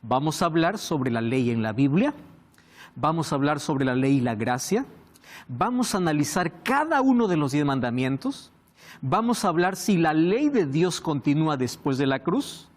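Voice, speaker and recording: A man hosting a Bible study program, speaking in a measured, emphatic way. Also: a little high. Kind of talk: studio presentation.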